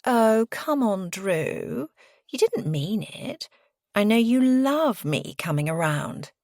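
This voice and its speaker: A woman's voice: medium pitch, sing-song, slow pace, darkish tone, dry recording, clean studio sound, voice pushed up. A woman voicing a brash young female schemer in an audiobook, gushing, taunting and smug.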